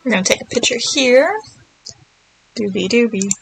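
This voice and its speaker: A woman's voice: fairly high pitch, expressive, moderate pace, gravelly, slight background noise, poor audio. A woman giving casual, playful video game commentary, thinking aloud and murmuring little nonsense words to herself as she plays.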